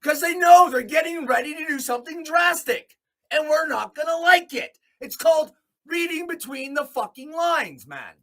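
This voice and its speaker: A man's voice: very high-pitched, animated, normal speed, bright, forceful tone. A man hosting a solo commentary show, delivering a blunt, off-the-cuff opinionated monologue with free use of swearing.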